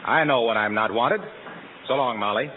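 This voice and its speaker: A man playing a cheery, smooth-talking salesman pal in a 1940s-style radio comedy. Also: medium pitch, moderate pace, heavy background noise, lightly acted. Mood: persuasive, cheery. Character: cheery, chummy salesman friend of the couple. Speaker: announcer. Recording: tinny vintage radio recording.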